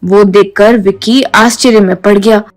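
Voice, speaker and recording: A woman's voice: mid-range voice, fairly even intonation, moderate pace. A woman reading a scripted children's story as its narrator.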